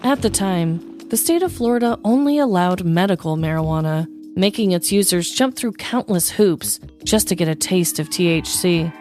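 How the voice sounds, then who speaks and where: A woman's voice: lowish voice, lively intonation, moderate pace, hardly any pauses, darkish tone, faint music behind. An American woman narrating a scripted comedic true-crime story as a podcast host.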